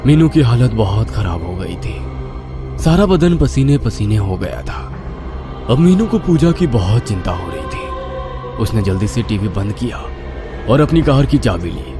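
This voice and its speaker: A man's voice: on the low side, very animated, unhurried. A man narrating a scripted horror story in a dramatic storytelling style. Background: clear background music.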